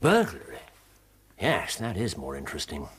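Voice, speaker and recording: An actor with an English accent playing a wry, incisive Victorian gentleman detective in a radio drama. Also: low voice, wildly swooping pitch, moderate pace, a little hiss or hum, heavily acted. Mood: intrigued.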